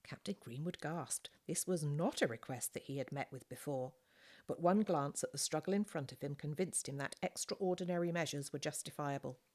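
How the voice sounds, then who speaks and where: A woman's voice: low voice, moderate pace, darkish tone. A woman reading scripted storybook narration as an audiobook reader.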